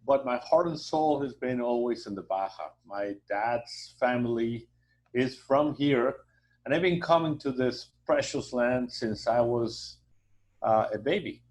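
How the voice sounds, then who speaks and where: A man with a Mexican accent, a native Spanish speaker, being interviewed as a hotel association president, speaking haltingly with many fillers and a warm promotional tone. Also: medium pitch, rough recording. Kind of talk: conversational interview.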